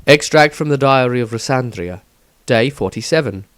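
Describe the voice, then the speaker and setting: A man's voice: mid-range voice, normal speed, fairly bright tone, no room sound. A man narrating a scripted fantasy story.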